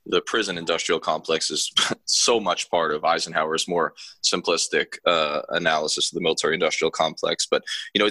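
A man's voice: low-pitched, pushed and bright, no echo. A man hosting a podcast, wordy and effusive, rambling with many fillers. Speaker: podcast host. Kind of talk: casual podcast interview wrap-up.

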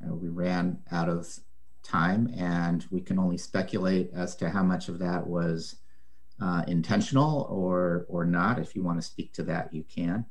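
Deep-voiced man hosting an interview. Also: a little mellow. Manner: thoughtful, hesitant with repeated words. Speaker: interviewer, host. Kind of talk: conversational interview.

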